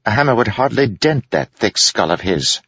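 A man's voice: lowish voice, big pitch swings, normal speed, a bit bright, husky, no room sound, voice pushed up, heavily acted. A man voicing a sly, mocking male court jester who delivers theatrical insults with glee. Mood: gleeful. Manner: gleeful, cutting, theatrical insults. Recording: dull dry studio recording.

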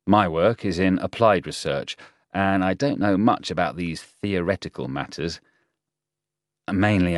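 Man as a reserved, low-voiced professor. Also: dry recording, clean studio sound, lightly acted. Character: guarded, restrained male applied-research professor.

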